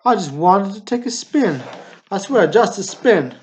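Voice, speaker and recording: A man acting a nervous, awkward small-town man in a radio drama, speaking in an earnest, pleading, excitable way. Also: sits high, unhurried, a little hiss or hum. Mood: agitated confession. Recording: dull lo-fi recording.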